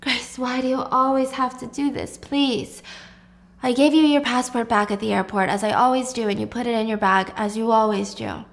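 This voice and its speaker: A woman voicing a manipulative husband who talks softly, with weary, condescending patience. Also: a little high, fairly even intonation, normal speed, gravelly. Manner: soft, placating, sighing, condescending.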